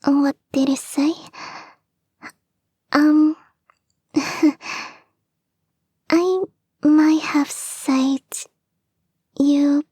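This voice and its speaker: A woman voicing a clingy young kitsune fox girl in an intimate ASMR roleplay, soft and breathy, often whispering, coaxing and sweetly insistent, close to the microphone. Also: high voice, fairly even intonation, drawn-out words, long pauses, dry recording. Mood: sheepish. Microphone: close, dry microphone.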